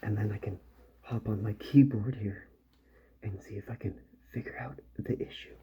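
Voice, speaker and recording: A man doing a soft-spoken ASMR roleplay as a repair doctor, with gentle, slow, partly whispered delivery. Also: on the low side, steady tone, slight background noise. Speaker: ASMR performer playing a robot repair doctor.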